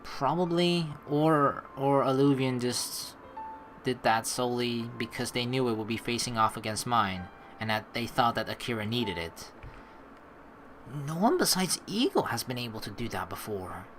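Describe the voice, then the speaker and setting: A man narrates a game in an animated voice with lively swings in pitch. He slips between reading text aloud and loose, sweary, rambling commentary, with game music clearly playing behind him. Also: normal speed. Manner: profane, rambling, theorizing. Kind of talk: casual gaming commentary mixed with read-aloud narration.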